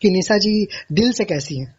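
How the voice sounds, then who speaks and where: Male interviewer, high husky voice, effusive and repetitive, conversational, muffled call-recording sound. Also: lively intonation, normal speed, a little mellow. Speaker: interviewer, radio-style host. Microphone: recorded phone call. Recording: dull, lo-fi phone call recording.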